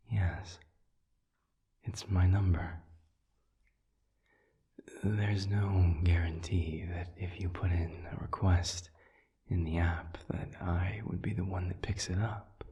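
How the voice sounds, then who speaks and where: Male actor as calm demon counselor, very low pitch, breathy half-whisper, extremely slow, deliberate pauses, gently earnest, intimate audio roleplay. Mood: shy, fond. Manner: measured, deliberate, pausing between phrases. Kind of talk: intimate audio roleplay, soft-spoken monologue to listener.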